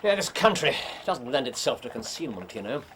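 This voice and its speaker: A man's voice: mid-range voice, big pitch swings, fairly quick, background noise, close to an everyday voice. A man playing a keen, confident detective in a period radio drama, with theatrical, formal old-fashioned phrasing. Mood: brisk, assured.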